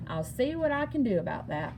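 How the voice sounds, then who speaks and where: A courteous frontier banker voiced by a woman in a children's story, polite and approving. The delivery is animated, and there is noticeable noise in the recording. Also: a little high, a little fast, creaky. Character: courteous, approving small-town banker man. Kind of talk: storybook character dialogue.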